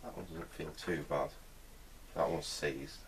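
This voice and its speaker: Middle-aged male restorer, low-pitched voice, informal workbench commentary, thinks aloud with hesitation. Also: fairly even intonation, unhurried, a little hiss or hum. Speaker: vintage radio restorer, presenter. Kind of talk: casual hands-on repair commentary. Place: indoor workbench.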